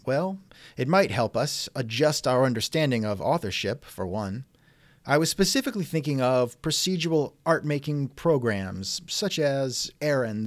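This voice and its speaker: A man's voice: mid-range voice, no room sound. A man hosting a scripted podcast interview with a dry, sardonic manner, close on the microphone.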